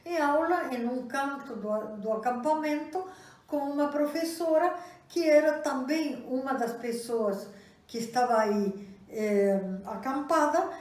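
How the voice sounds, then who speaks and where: An older woman from Argentina, a professor speaking Portuguese with an Argentine Spanish accent, giving a talk with hesitant pauses and many fillers. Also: sits high, a little mellow. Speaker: guest speaker, university professor. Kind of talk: event talk. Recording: echoey room, distant mic.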